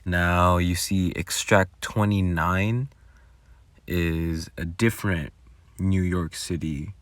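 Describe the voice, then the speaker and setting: A man's voice: low-pitched, no room sound. A man giving casual behind-the-scenes commentary on his own audio work in a rambling, informal way. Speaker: audio roleplay creator.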